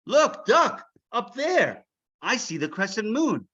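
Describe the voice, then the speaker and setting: A man's voice: high-pitched, big pitch swings, slow pace, sharp tone, breathy edge, muffled recording, pitched above the performer's own voice, heavily acted. A man voicing an earnest, bold young boy hero from a storybook, exclaiming with urgency. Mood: excited.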